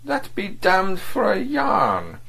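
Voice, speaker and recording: A man's voice: a little high, sing-song, normal speed, creaky, a bit bright, pitched above the performer's own voice, heavily acted. A man voicing a suave, cynical foreign diplomat who mocks and threatens his agent. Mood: scornful. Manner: mocking, sneering, overbearing.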